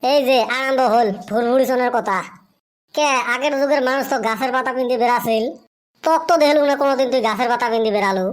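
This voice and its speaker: A woman's voice: high voice, fast talker, close to an everyday voice. A woman voicing a cheeky, complaining village youngster who talks back in a comic cartoon. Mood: sarcastic.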